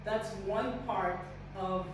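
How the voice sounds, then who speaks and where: A woman's voice: medium pitch, fairly even intonation, slow pace. A woman from Guyana giving a staff training talk with faith themes, earnest and encouraging, often restarting her sentences. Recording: very echoey, distant, noisy room recording.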